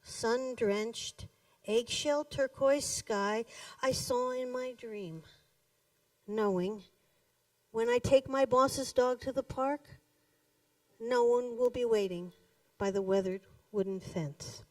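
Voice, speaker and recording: A woman reading her own poems live in a cafe, with deliberate, dramatic phrasing, pauses and emphatic stresses. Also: fairly high pitch, a little mellow. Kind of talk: live poetry reading to an audience. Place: indoors in a cafe. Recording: roomy echo, distant microphone.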